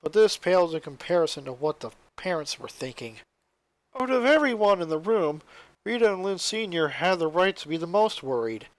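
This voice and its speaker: Male story narrator, high-pitched voice, close dry recording. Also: very animated, normal speed, no echo, rough recording. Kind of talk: scripted story narration, fan fiction reading.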